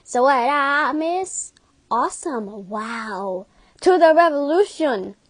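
A woman's voice: high voice, expressive, takes it slowly, dry recording. A woman voicing brash, theatrical comic characters with exaggerated emphasis in an amateur fan dub. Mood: playful.

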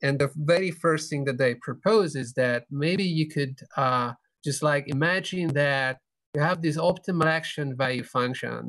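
A middle-aged man giving an explanatory conference lecture over a video call, pausing often mid-sentence. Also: on the high side, a bit bright, dry recording. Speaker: keynote speaker, researcher. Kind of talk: academic conference lecture with slides. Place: indoor room, video call.

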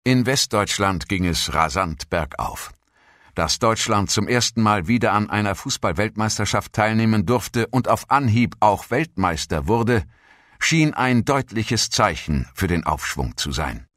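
A low-pitched male narrator reads a scripted historical documentary text. His delivery is measured and formal, as in an audiobook. The sound is dry and close, with hardly any room on it. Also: lively intonation, a bit bright, no echo.

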